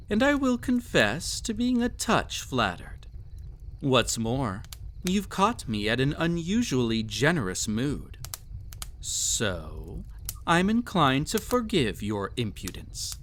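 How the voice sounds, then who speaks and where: A man's voice: medium pitch, wildly swooping pitch, takes it slowly, a bit bright, slight background noise, exaggerated voice. A man playing a cruel, possessive, vain emperor toying with a captive in an intimate audio roleplay, with haughty, teasing, theatrical phrasing. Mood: smug indulgence.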